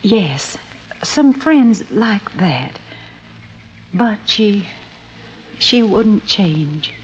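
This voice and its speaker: Slow-spoken genteel mother. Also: medium pitch, very animated. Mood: wistful. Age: middle-aged. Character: genteel, wistful middle-aged housewife and mother. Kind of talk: old-time radio drama acting. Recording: dull, faintly noisy vintage radio recording.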